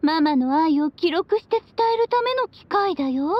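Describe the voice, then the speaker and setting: A woman's voice: very high-pitched, animated, moderate pace, muffled recording. A woman playing a playful, free-spirited witch mother in a Japanese anime game, teasing and affectionate. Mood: teasing, breezy.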